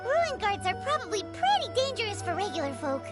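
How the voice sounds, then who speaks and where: This is a tiny floating girl companion, nosy and eager to chime in. Her voice sits very high and swings a lot in pitch. Loud game music plays behind her. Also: very animated. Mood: chirpy. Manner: bouncy, quick to chime in.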